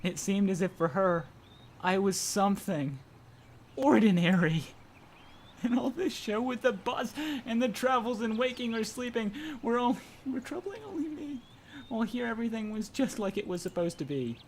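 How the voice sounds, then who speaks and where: A man's voice: sits high, expressive, normal speed, a little hiss or hum. A young man reading visual novel narration aloud in an amateur, dramatic way, as narrator of a let's play.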